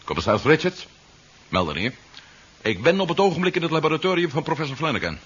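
A man's voice: a little high, very animated, fast, background noise. An actor playing a shrewd, wry policeman hero in a 1970s radio drama, with a dry manner. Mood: brisk, deceptive. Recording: dull, muffled 1970s radio recording.

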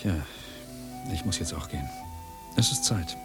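An actor playing a gruff, foul-mouthed, touchy uncle with a husky voice in a radio play. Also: male voice, low-pitched, lively intonation, moderate pace, music in the background. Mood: grumbling, calmer.

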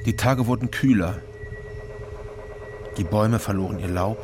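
An actor playing an imprisoned private detective in a German radio crime drama, terse and deliberate. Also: male voice, lowish voice, over music, natural voice. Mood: brooding narration. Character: indignant imprisoned East Berlin private detective, weary first-person narrator.

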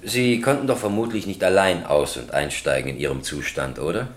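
Guy acting strict judge in a radio drama, low voice, formal, keeps probing, dryly ironic. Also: brisk, natural voice. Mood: stern, sceptical. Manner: formal, probing, dry irony. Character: stern, sceptical male presiding judge.

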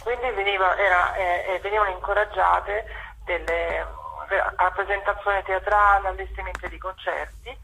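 A woman explains her work as an interview guest, haltingly and correcting herself as she goes. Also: fairly low pitch, pushed and bright, breathy edge, low-quality recording. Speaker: playwright and director, interview guest.

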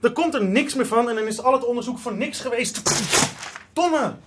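A man's voice: very high-pitched, moderate pace, background noise. An actor playing a frustrated young male student, casual, grumbling and sarcastic, in a modern radio drama. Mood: frustrated, sardonic. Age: young adult.

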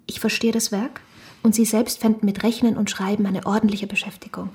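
An actress playing a frank, practical young shopkeeper's daughter in a German radio drama, earnest and admonishing. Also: female voice, mid-range voice, little pitch movement, a little fast, a little mellow, lightly acted. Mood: brisk, concerned.

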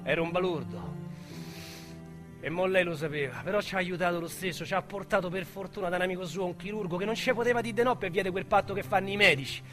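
An actor with a Roman accent playing a small-time male crook who confides his past in a radio drama, speaking in a wistful, halting way. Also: high voice, music in the background, natural voice. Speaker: narrator-protagonist.

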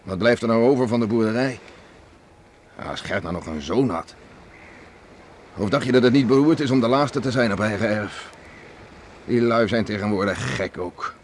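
A man's voice: lowish voice, normal speed, long pauses, lightly acted. An actor playing an ageing, plain-spoken island farmer father in a Dutch radio drama, musing and concerned. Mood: indignant. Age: older. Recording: noisy old radio play recording.

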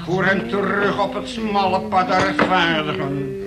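High-pitched, slow, zealous preacher. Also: male voice. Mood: wrathful, declaiming. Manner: declamatory, solemn, drawn-out phrasing. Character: fire-and-brimstone male preacher, zealous, pompous, chummy between prayers. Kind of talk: radio play acting, chanted sermon-like prayer. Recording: very noisy old radio play recording.

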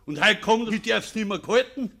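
A man's voice: high voice, animated, normal speed, vocal fry, bright, forceful tone, full character voice. An actor playing a proud, embattled village father in a German radio play, blunt and indignant, in Bavarian dialect. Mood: outraged.

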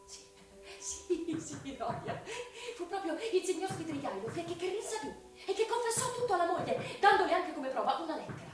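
A young woman playing a devoted, anguished sister reciting a confessional letter in a halting, theatrical radio drama style. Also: extremely high pitch, big pitch swings. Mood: excited, relieved. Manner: halting, repeats words, breathy emotional outbursts. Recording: echoey, distant, music behind.

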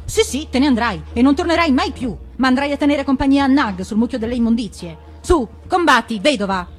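Woman acting bold crowing mongoose, pitched high. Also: lively intonation, brisk, faint music behind. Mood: defiant. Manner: crowing, taunting, chanting her own name. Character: bold, taunting, triumphant young female mongoose warrior.

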